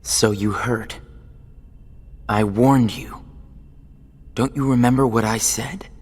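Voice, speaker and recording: A man playing a cold, menacing figure who gives dark warnings in a video game cutscene. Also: mid-range voice, steady tone. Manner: slow, menacing, deliberate. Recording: long hall-like reverb.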